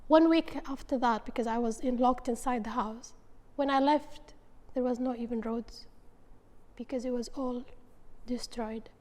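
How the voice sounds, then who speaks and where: Halting young woman from Yemen, interviewed. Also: fairly high pitch, fairly even intonation, darkish tone, in a large hall. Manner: halting, searching for words. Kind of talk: casual interview answers.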